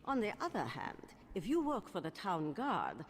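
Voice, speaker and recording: An actress voicing a shady, vain, self-assured underworld information broker in a video game, with a smooth-talking manner. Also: female voice, medium pitch, huge pitch swings, moderate pace, in a large hall. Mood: sly, self-assured. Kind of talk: video game character dialogue.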